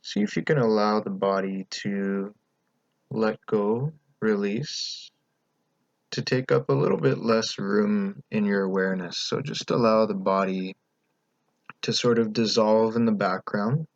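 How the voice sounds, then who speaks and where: A man leading a guided meditation as the teacher, speaking in a calm, gentle, unhurried way with pauses between instructions. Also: fairly low pitch, gravelly, no echo, highs cut off.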